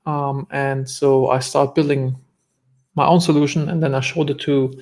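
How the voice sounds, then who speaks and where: A man's voice: mid-range voice, level tone, normal speed, darkish tone. A man with a German accent, a guest entrepreneur telling stories in an earnest, reflective way during a casual interview.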